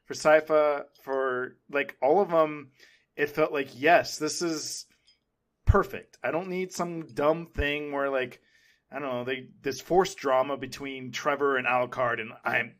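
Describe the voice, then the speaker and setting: A man co-hosting a podcast in casual discussion, talking in a halting, rambling way and often correcting himself. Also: mid-range voice, steady tone, a bit bright. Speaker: podcast co-host. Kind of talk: casual pop culture discussion.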